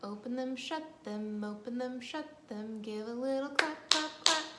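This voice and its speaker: A woman's voice: sits high, a little slow. A woman leading a children's storytime as a librarian, playfully reciting rhymes and action songs in a sing-song way, talking directly to young kids. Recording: echoey room with faint background noise.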